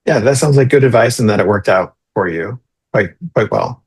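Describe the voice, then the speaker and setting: Husky-voiced man asking questions. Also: on the low side, lively intonation, normal speed, darkish tone. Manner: brief, easygoing questions. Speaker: podcast host. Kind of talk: interview questions.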